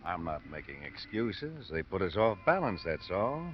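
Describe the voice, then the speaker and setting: Harried robbery lieutenant, very low pitch, weary sarcasm, vintage radio acting, muffled faintly noisy sound. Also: male voice, big pitch swings, moderate pace. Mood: harried, defensive. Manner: weary, sardonic, grumbling. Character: harried, grumbling male police robbery lieutenant. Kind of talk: 1950s radio drama acting.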